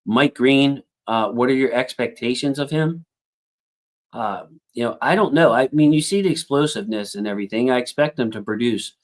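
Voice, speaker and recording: A man hosting a casual football livestream, reading out viewer comments and thinking aloud with lots of fillers, and his voice has a slightly squeaky edge. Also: normal speed. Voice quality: self-described squeaky voice. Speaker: football channel host reading live chat.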